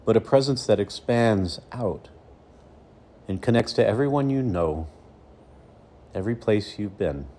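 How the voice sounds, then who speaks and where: Low, mellow-voiced man guiding meditation. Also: animated. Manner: slow, soothing, with deliberate pauses between phrases. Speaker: meditation coach. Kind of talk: guided meditation.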